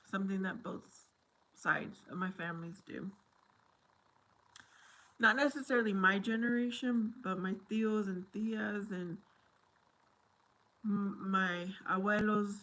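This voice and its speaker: Slow-talking woman, easygoing. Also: medium pitch, a bit bright, poor audio. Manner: unhurried, chatty, mixes in Spanish phrases. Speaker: livestream host. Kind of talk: casual livestream chat with viewers.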